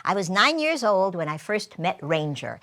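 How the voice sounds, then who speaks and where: A woman's voice: medium pitch, very animated, normal speed, pushed and bright. A woman voicing an earnest grown woman recounting her horse-loving farm girlhood, in live storytelling. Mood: fond reminiscing.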